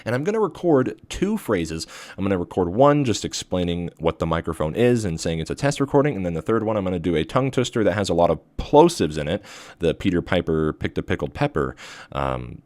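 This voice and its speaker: A man with a deep voice walks through a casual tech review, his pitch moving up and down a lot. He rambles with plenty of fillers. He is recorded close on a USB studio microphone with a dry sound. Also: very animated, a little fast, darkish tone, no room sound. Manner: rambling, filler-heavy explanations.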